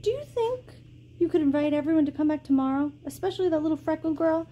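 A woman's voice: high voice, fast talker, mellow, lo-fi sound, natural voice. A woman voicing a childlike school building in a storybook, earnest, unsure and a little hesitant. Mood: hopeful.

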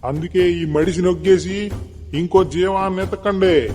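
A man's voice: high-pitched, steady tone, a little slow, darkish tone. Guy doing fierce cartoon tribe chief, rustic talk, barking orders, echoey. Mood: stern, angry. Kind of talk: animated moral story dialogue. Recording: long hall-like reverb, music behind.

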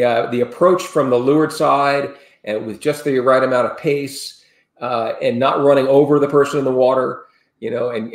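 A man in his sixties, a sailing expert guest on a video call from home, explaining safety steps in a rambling, earnest way with many restarts. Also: mid-range voice. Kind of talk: casual explanatory interview talk. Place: home room, indoors. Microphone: video call webcam. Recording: roomy video call audio.

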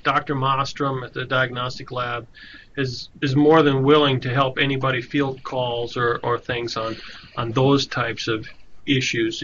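A man's voice: medium pitch, sharp tone. A man explaining things informally on a work call, often repeating words as he goes. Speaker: extension staff member. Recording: dull conference-call audio, faint noise.

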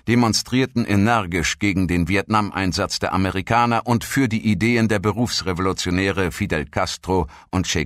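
A man's voice: low-pitched, a bit bright, no room sound, clean studio sound. A man reading scripted documentary narration.

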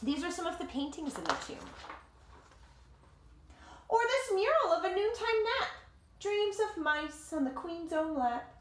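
A woman in her thirties reading a picture book aloud to children like a teacher, with dramatic, deliberate phrasing and simple explanations, recorded in a living room. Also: extremely high pitch, very animated, background noise. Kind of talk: expressive storybook read-aloud with explanatory asides. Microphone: camera or phone a short distance away. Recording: roomy home echo.

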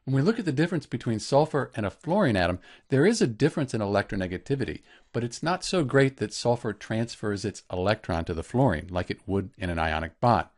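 A man narrating an instructional lesson as a teacher, explaining clearly step by step. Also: fairly low pitch, expressive, quick pace, few pauses. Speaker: chemistry teacher.

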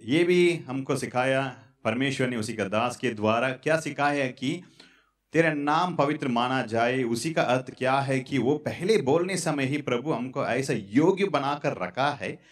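A man in his thirties preaching a sermon on a church stage into a handheld mic, halting and repetitive. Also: mid-range voice. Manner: halting, repetitive, fillers and restarts. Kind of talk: sermon with prayer. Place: church stage in front of curtains.

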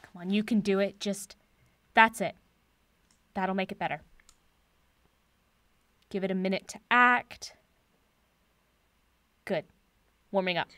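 Female professor, brisk, confident, wry, medium pitch, dry studio sound, audio drama. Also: bright, forceful tone, no echo. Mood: urgent, focused.

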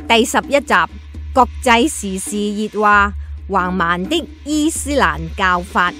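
A woman's voice: mid-range voice, expressive, takes it slowly. Woman narrator over faint music. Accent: Hong Kong. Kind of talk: scripted radio drama narration.

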